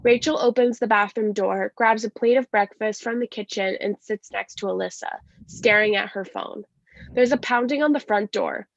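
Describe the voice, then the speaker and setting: A woman's voice: medium pitch, normal speed, creaky, no echo, lo-fi sound. A woman giving scripted narration, reading stage directions at a play reading.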